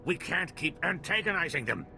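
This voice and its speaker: Game role: argumentative alien scientist, gravelly edge, highly animated, emphatic. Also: male voice, moderate pace, faint music behind, heavily acted. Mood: earnest. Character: cynical, argumentative male alien scientist, a Sullustan. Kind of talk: video game voice acting.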